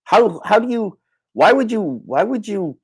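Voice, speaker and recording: A man's voice: high voice, sing-song, measured pace, breathy edge. Man, livestream host, informal opinionated monologue, emphatic repetition, dry sound with little room echo. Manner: emphatic, repeats phrases for emphasis; informal, with occasional swearing.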